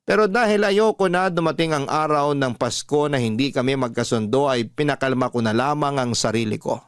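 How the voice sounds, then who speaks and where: Smooth-voiced Filipino man narrating a story. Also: mid-range voice, fairly quick, dry recording, clean studio sound. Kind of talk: dramatic radio storytelling.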